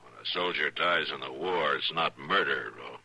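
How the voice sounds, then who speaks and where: An actor playing a steady, authoritative American frontier marshal, measured and stern, in an old-time radio western. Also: male voice, extremely low pitch, gravelly, slightly rough, dry recording, full character voice. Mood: calm, probing. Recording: bright, lo-fi old radio broadcast.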